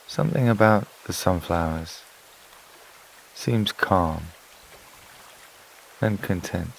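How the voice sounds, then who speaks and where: A man narrating a scripted sleep meditation in a calm, soothing, unhurried way, with long pauses between phrases. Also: low voice, gravelly, slight background noise, low-quality recording. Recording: water and rain sounds behind voice. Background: flowing stream, rain-like ambience.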